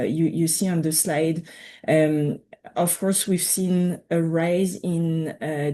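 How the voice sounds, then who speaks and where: A woman's voice: deep voice, mellow. A middle-aged woman with a Quebec French accent, presenting on a webinar panel over a video call, hesitant with many fillers and restarts. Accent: French Canadian. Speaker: utility panelist.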